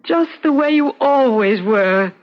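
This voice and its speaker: A woman's voice: high voice, expressive, slow. A devoted carer in a radio play, coaxing and anxious. Her voice swoops expressively, and the recording is a little muffled. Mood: anxious relief. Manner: solicitous, coaxing, seeking reassurance. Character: anxious, devoted, fussing woman caring for a sick man. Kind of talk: radio drama acting.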